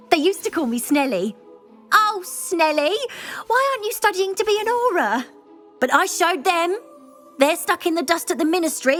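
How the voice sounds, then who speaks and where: A woman's voice: high voice, a bit bright, heavily acted. A woman playing a flamboyant, self-important hair salon owner in a video game, theatrical, gushing and drawling for emphasis. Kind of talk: video game character acting.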